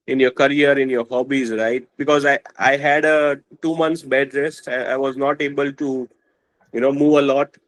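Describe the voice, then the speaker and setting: A man, a podcast guest, talking in a hesitant, halting way full of fillers. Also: on the high side, dry recording, rough recording. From India. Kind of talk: casual interview talk.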